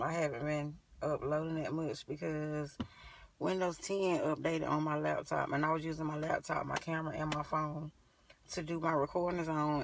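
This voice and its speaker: Gravelly young woman chatting casually. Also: low voice, slight background noise. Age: young adult. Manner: informal, rambling, thinking aloud. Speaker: beauty vlogger. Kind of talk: casual chat to camera. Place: inside a parked car. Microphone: phone at arm's length. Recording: slightly distant phone recording.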